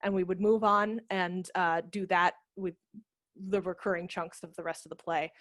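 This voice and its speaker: A woman's voice: on the low side, steady tone, normal speed, a bit bright, dry recording. Woman in her thirties, close dry headset. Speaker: theatre director and workshop presenter. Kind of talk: informal instructional video-call talk. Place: home room with brick wall, video call. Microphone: headset microphone.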